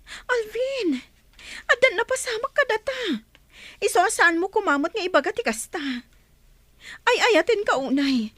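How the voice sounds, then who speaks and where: A woman's voice: extremely high pitch, animated, a little slow, pauses often, a bit bright, no room sound, heavily acted. An actress playing a jilted young girlfriend in a radio drama, wounded, reproachful and pleading. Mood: anguished, reproachful. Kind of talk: emotional radio drama acting.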